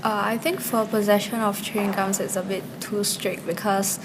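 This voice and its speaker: A woman answers casually in a street interview, her voice at an average pitch. Clear background noise sits behind her. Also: level tone, normal speed. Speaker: tourist interviewee.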